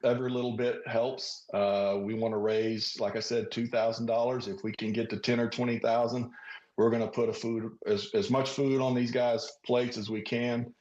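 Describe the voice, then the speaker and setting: A man's voice: mid-range voice, little pitch movement, normal speed, a little mellow. A plainspoken man giving unscripted interview answers. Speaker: interviewee, former air traffic controller. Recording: roomy, dull muffled interview sound.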